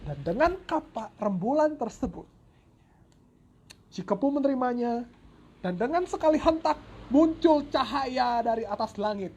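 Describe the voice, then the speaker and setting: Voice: mid-range voice, animated, unhurried, pauses often, a little mellow, slightly rough. A storyteller tells a folk tale informally and dramatically, with playful joking asides and self-corrections. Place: at home. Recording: noisy home recording with ambient sound.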